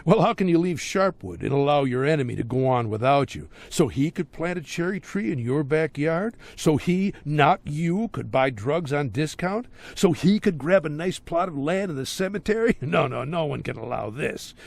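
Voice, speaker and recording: An actor playing a jovial but menacing small-town crime boss in a game cutscene, rambling with folksy sarcasm and rhetorical questions. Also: male voice, on the high side, lively intonation, normal speed, gravelly, few pauses. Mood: musing, sardonic. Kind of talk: video game cutscene acting.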